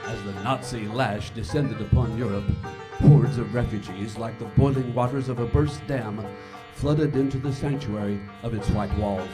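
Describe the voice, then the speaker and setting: A man announcing and narrating in 1940s radio style, formal and theatrical. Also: mid-range voice.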